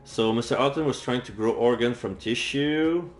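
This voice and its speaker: A man's voice: medium pitch, normal speed. A man streaming a game and reading its visual novel text aloud. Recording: echoey room with faint game music. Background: faint game music.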